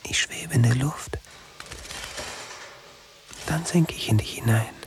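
A man acting a dreamy, yearning lover's inner voice in a radio play. He whispers very slowly, breathy and entranced. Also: mid-range voice, lightly acted. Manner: slow, breathy, trance-like. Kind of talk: whispered radio drama monologue.

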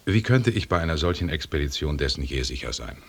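An actor playing an authoritative expedition leader in a German radio drama, speaking in a formal, precise, lecturing manner with a husky voice. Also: male voice, very deep voice, lively intonation, fast talker, heavily acted. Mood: measured, commanding. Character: authoritative British expedition leader, pedantic and commanding.

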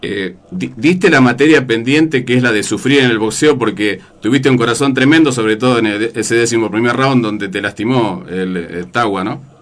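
An Argentine man with an Argentine accent hosting a boxing radio show, asking leading questions in a halting way with many fillers. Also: medium pitch, a bit bright. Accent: Argentine Spanish. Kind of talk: radio interview.

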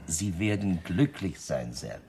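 An actor playing a courteous, formal restaurant waiter in a radio drama, speaking with servile politeness. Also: male voice, low voice, expressive, slow. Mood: discreet, assured. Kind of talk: German radio drama acting. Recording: radio drama with clear background noise.

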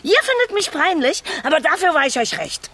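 High-voiced, aggrieved combative wife. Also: female voice, big pitch swings, fairly quick, a bit bright, full character voice. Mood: bitter, indignant. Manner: colloquial, indignant, pushy. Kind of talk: naturalistic German radio drama acting.

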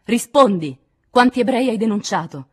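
A fierce young woman acted by a female audiobook reader bent on vengeance. She is loud and harsh, pitched up and husky, pressing every demand violently. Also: expressive, a little fast. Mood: menacing, furious. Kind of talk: dramatic audiobook character acting.

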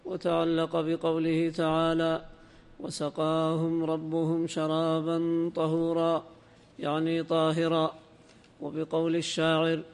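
Male student reader, higher-pitched and very monotone, smooth, formally reciting classical text in long reverb. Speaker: student reader in a religious lesson. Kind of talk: reading classical text aloud, semi-chanted.

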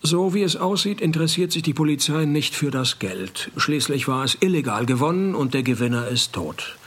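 Deadpan, cynical private detective. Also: male voice, fairly high pitch, normal speed, breathy edge. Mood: matter-of-fact. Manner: deadpan, laconic, sardonic. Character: male private detective narrating his case, dry and cynical. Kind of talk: radio play narration and dialogue.

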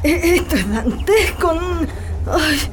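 A woman's voice: sits high, expressive, takes it slowly, a little hiss or hum. An actress with a Chilean accent playing an anguished, insistent woman searching for her missing partner in a radio drama. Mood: horrified, distraught.

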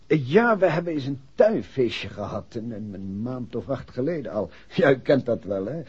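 A husky, chatty, obliging bank manager. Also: male voice, medium pitch, very animated, moderate pace, a little mellow. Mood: genial, musing. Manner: chatty, hesitant, repeats himself while recalling. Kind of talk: 1960s radio drama acting. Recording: dull, muffled 1960s radio recording.

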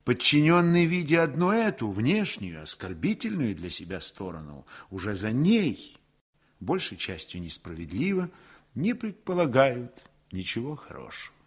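A man's voice: medium pitch, wildly swooping pitch, moderate pace, husky. A man narrating a war story in a radio play, solemn, reflective and emphatic, with a declamatory manner. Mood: grave, emphatic.

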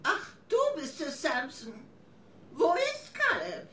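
A woman's voice: high voice, huge pitch swings, slow, creaky, pushed and bright, exaggerated voice. An actress playing a frail, anxious old grandmother in a crime radio drama, querulous in manner.

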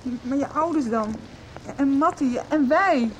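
A woman's voice: sits high, a little slow, a little hiss or hum, rough recording. An actress playing a fierce, loyal teenage girl in a radio soap, direct and emotional, with rain behind her. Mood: concerned. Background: rain, music.

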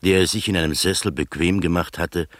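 An actor playing an observant first-person storyteller, narrating a literary radio drama in measured fashion. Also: male voice, sits low, a bit bright, dry recording.

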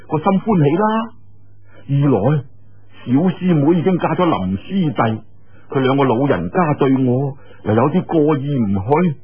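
A man voicing a young swordsman in Cantonese, earnest and sharp-witted, musing aloud with scoffing interjections. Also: mid-range voice, close to an everyday voice. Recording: muffled narrow-band radio-like sound.

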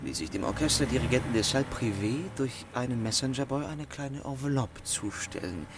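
An actor playing a cool, world-weary 1920s gentleman swindler in a radio play, clipped, imperious and dryly ironic. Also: mid-range voice, expressive, a little fast, background noise, close to an everyday voice. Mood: smug, explaining.

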